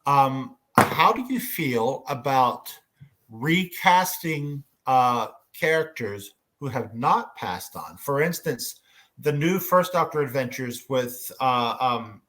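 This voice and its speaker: Male panel moderator, unhurried and halting, mid-pitched, informal discussion tone. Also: lively intonation, a bit bright, low-quality recording.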